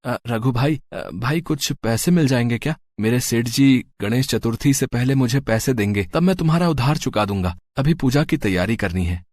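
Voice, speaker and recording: A man's voice: mid-range voice, expressive, normal speed, hardly any pauses, darkish tone, dry recording, lightly acted. A man voicing a poor, humble, devout servant in a cartoon moral story, speaking hesitantly and deferentially. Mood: timid, pleading.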